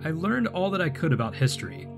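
An actor playing an earnest wanderer unstuck from time, explaining himself in a measured way in an audio drama. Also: male voice, fairly high pitch, sing-song, over music. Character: earnest male time-unmoored wanderer recounting his strange life. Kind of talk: audio drama acting, calm storytelling.

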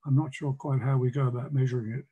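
A man's voice: medium pitch, flat delivery, fast talker, mellow, husky, highs cut off. An older man hesitantly asking a question on a video call, searching for his words. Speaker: audience member. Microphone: webcam on a video call.

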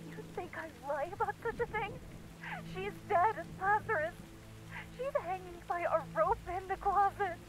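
A woman voicing a very high, frightened young sister. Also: normal speed, rough recording. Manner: tearful and pleading, bursts into anger. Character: frightened young woman alone after her mother's death. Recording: loud music under the dialogue.

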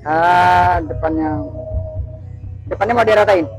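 A man's voice: high-pitched, slow, faint music behind, rough recording. A young man working as a barber, chatting and joking to the camera while he cuts hair in a small shop. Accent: Indonesian. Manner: chatty, joking, keeps saying "guys". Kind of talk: casual vlog narration while cutting hair. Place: small barbershop, indoors, street-facing.

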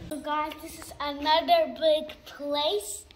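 A woman's voice: high voice, flat delivery, slow, a little hiss or hum. A nine-year-old girl chatting casually in a vlog as a young DJ. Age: child, nine years old. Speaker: young DJ and vlogger.

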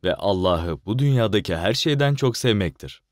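A man narrates a scripted message in a lowish voice. His pitch moves in a lively way, recorded dry over light music. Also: normal speed, no echo.

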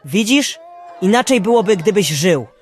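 A man's voice: high-pitched, animated, moderate pace. A man playing an eager apprentice angel guide in an amateur radio play, theatrical and exclamatory. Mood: coaxing. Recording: music behind the voice.

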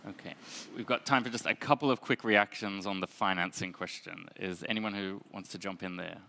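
Guy moderating a panel, lowish gravelly voice, bright edge. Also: steady tone, brisk. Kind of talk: brisk moderating. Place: large conference hall stage.

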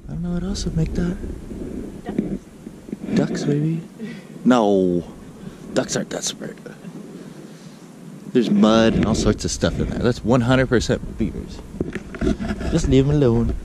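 Guy vlogging by a river on a handheld cam, slow easy talk, lots of outdoor noise. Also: medium pitch. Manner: excitable, easily thrilled by wildlife. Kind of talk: casual outdoor vlog. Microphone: handheld action camera at arm's length. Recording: outdoor recording with loud background noise.

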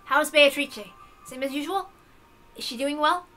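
A brash, excitable young master, acted by a woman in a visual novel. The voice is bright and high, with casual, emphatic, loud lines. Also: unhurried, faint music behind. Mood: eager.

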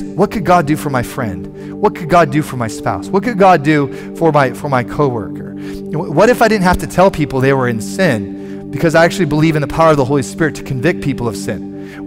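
A man in his forties, a pastor preaching a sermon from a church stage on a headset mic, animated and conversational, with frequent restarts. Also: on the high side. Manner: animated, moving about the stage and gesturing.

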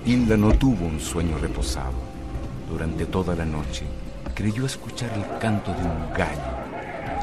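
Very deep-voiced male mystery narrator. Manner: measured, dramatic storytelling. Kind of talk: scripted radio mystery narration. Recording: music bed under the voice.

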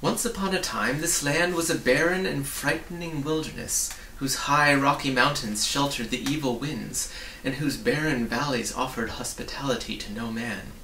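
Creaky-voiced man reading a storybook. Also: mid-range voice, moderate pace, few pauses, bright, forceful tone. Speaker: reader. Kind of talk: reading a children's storybook aloud. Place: small indoor study with bookshelves. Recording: roomy, distant mic, faint hiss.

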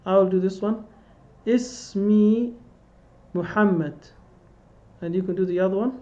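A man's voice: high-pitched, measured pace, darkish tone, highs cut off. A man teaching an online language lesson, patiently repeating phrases for students to echo, recorded through a webcam on a video call. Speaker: Arabic teacher. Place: indoor room.

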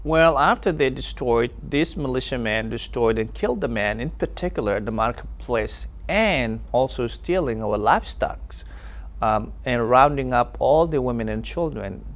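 A young man from South Sudan whose first language is Dinka, giving earnest personal testimony as a TV interview guest, halting with many fillers, recorded on a lapel mic in a studio. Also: mid-range voice, expressive. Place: TV studio set.

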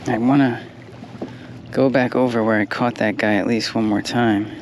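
A young man with a gravelly, mid-pitched voice talks through his fishing plan while he drifts in a kayak. He is relaxed and thinks aloud, as if he were chatting to the camera. Wind and water noise come clearly through the action-camera audio. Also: normal speed. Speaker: kayak angler. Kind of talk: casual fishing commentary. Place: kayak on open water outdoors. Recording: windy outdoor camera audio.

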